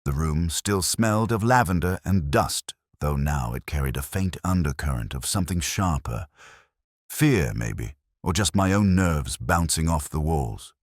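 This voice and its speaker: Male audiobook narrator for hardboiled detective fiction; very low-pitched, expressive range, dry close sound. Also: a little slow, no room sound. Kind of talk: first-person hardboiled detective story narration.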